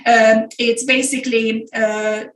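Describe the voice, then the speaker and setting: Woman, Hungarian accent, middle-aged, business coach, earnest, encouraging, somewhat high voice, echoey room. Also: flat delivery, slow pace. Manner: earnest, encouraging, with frequent fillers and non-native grammar. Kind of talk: webinar masterclass presentation.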